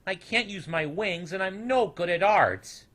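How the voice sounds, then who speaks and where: A man's voice: sits high, expressive, measured pace, fairly bright tone, pitched above the performer's own voice. A man voicing a small, timid, self-doubting boy creature in a storybook, earnest and plaintive. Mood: dejected. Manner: plaintive, hesitant.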